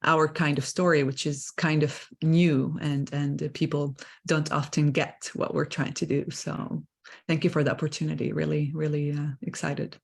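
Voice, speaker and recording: A woman organizer speaks on an online panel in a hesitant way, with many pauses and filler sounds. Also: low-pitched, little pitch movement, gravelly. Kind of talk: panel remarks.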